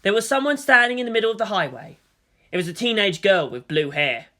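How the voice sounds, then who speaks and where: A woman narrates a scripted action story with drama. The voice sits at a middle pitch, bright and forceful, and moves widely up and down through each sentence. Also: expressive, fairly quick. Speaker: narrator reading fan fiction aloud.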